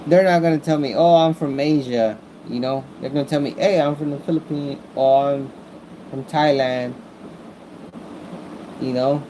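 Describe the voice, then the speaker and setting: A man with an American accent talking casually as a vlogger, rambling through his opinions in a repetitive, halting way as he searches for words. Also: mid-range voice, fairly even intonation, warm tone, slight background noise, poor audio. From Mexican-American, born in the United States.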